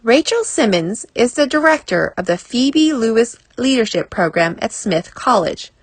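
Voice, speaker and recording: A woman reading a self-help article aloud as scripted narration, in a measured, clearly phrased way. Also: on the high side, very animated, dry recording.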